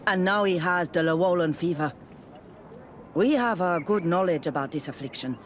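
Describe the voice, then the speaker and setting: A woman's voice: lowish voice, normal speed, natural voice. A woman playing a proud, blunt native herbalist mother in a video game, speaking tersely. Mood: proud, stern. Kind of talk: video game dialogue acting. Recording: outdoor ambience noise behind voice.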